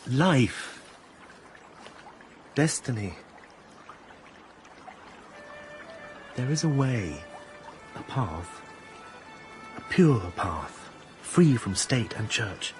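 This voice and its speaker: Earnest idealist, very expressive voice. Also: male voice, mid-range voice, extremely slow, long pauses, soft background music. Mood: fervent, reverent. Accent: British. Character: earnest, idealistic, searching gentleman, a sensitive believer. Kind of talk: British radio drama acting.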